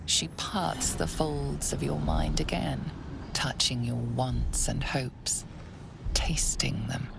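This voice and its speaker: An actress playing a solemn, mysterious narrator in a dark fantasy game, measured and ominous, with a gravelly, creaky voice. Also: female voice, very deep voice, flat delivery, noisy background, full character voice. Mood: ominous, intimate.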